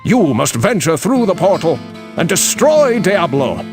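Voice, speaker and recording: An actor playing a grave elderly sage in a fantasy game, speaking in a theatrical, portentous and urgent way. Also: male voice, sits high, sing-song, unhurried. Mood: grave warning. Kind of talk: dramatic fantasy game voice acting. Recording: music under the voice. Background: loud guitar music.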